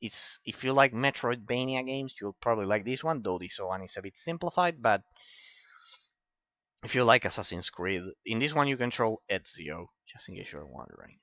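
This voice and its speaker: A man's voice: fairly low pitch, expressive, normal speed, fairly bright tone, no room sound, muffled recording. A man with an Italian accent giving rambling, opinionated commentary on a game collection, with many restarts. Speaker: game reviewer. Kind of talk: casual commentary over game footage.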